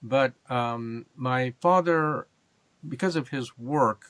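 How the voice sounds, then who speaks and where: Measured American man, close dry recording. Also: mid-range voice, fairly bright tone, dry recording. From Japan and California. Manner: measured, halting, explanatory. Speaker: interview guest, government and business leader. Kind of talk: reflective interview answers.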